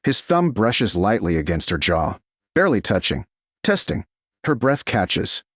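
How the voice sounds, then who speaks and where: A male audiobook narrator reading dramatic romance. His voice is husky and fairly low, with very expressive pitch movement and short, deliberate phrasing. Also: no echo, studio quality.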